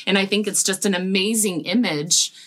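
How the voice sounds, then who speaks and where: A woman's voice: medium pitch, moderate pace, a bit bright. A woman talking reflectively in a casual devotional discussion, conversational with frequent fillers.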